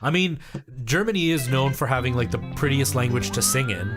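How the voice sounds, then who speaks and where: A man's voice: medium pitch, animated, a little fast, music in the background. A man from Canada with a generic Canadian English accent, speaking English as a second language and chatting casually as he streams a video game. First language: not English. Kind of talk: casual livestream chat.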